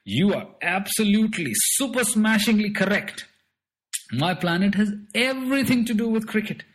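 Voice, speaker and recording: A man's voice: high-pitched, lively intonation, moderate pace, pitched above the performer's own voice. A man voicing a boastful little alien trickster who is playful, bossy and fond of made-up words, in a storybook. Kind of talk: storybook character voice.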